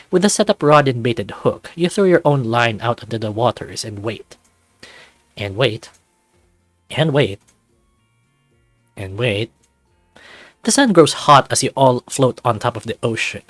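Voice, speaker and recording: A man reading a visual novel aloud as its narrator, in an expressive storytelling style. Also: mid-range voice, no echo.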